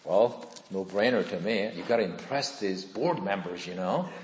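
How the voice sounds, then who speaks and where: Pastor in his 50s, lively up and down preaching voice, big echoey church hall. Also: low voice, moderate pace, slight background noise. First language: Korean. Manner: earnest, conversational, asks the congregation questions. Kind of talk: sermon with personal testimony.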